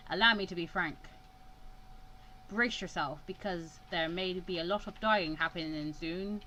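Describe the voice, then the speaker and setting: A woman's voice: on the low side, unhurried, pushed and bright, pitched below the performer's own voice, rough recording. Woman acting out a stern, impatient male mentor. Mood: grave. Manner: scolding, clipped, serious. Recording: clear background noise.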